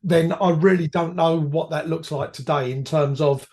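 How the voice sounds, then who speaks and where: A man, hoarse from a cold, a guest reminiscing about his years as a firefighter in rambling, self-correcting talk. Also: fairly high pitch, steady tone, moderate pace, a little mellow. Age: 50s. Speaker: podcast guest, retired firefighter. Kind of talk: reflective conversational storytelling.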